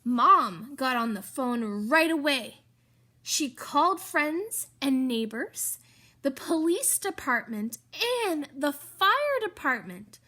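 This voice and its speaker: A woman reading a children's story aloud in an expressive, emphatic way, with chatty asides to the listeners. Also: high voice, slow pace. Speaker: storybook reader.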